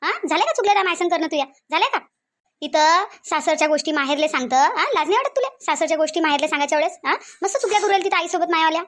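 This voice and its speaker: A woman's voice: very high-pitched, animated, a little hiss or hum. A woman voicing a stern, nagging village mother-in-law in a cartoon, with a Varhadi Marathi accent. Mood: scolding. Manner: nagging, loud, rapid scolding. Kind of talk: cartoon voice acting.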